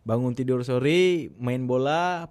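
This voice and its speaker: A man's voice: mid-range voice, slow, darkish tone. A young man from Aceh with an Acehnese accent, talking earnestly as a podcast guest and repeating himself for emphasis. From Aceh, Indonesia. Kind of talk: casual podcast conversation.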